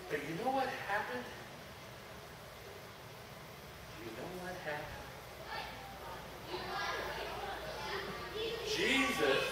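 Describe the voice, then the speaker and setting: A man plays an excitable ancient servant who can barely contain himself, chattering and breathless. The voice sits high and swings widely in pitch. It is caught from far off in a very echoey room. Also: slight background noise. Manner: bubbly, breathless, lots of laughter and exclamations. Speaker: actor in a character monologue. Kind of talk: first-person dramatic monologue.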